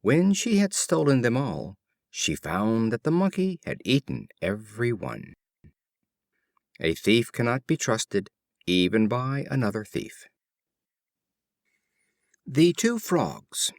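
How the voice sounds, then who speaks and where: A man reading classic fables as an audiobook narrator, in an expressive and deliberate storytelling style. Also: medium pitch, vocal fry, no room sound, studio quality. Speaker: audiobook reader.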